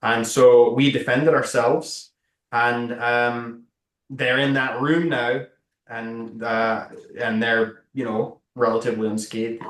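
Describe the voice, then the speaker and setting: A man's voice: mid-range voice, level tone, slow, a bit bright. A man improvising a nervous, rambling mercenary who stammers and over-explains in a tabletop roleplay. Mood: defensive, earnest. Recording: echoey room, far from mic.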